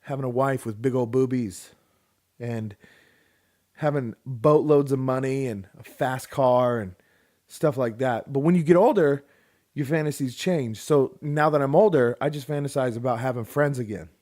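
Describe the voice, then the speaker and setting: A man's voice: medium pitch, moderate pace. A man in his late thirties co-hosting a podcast, telling a long story in casual, rambling chat and acting out what other people said. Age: 30s. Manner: rambling, animated, acts out quoted people. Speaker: podcast co-host.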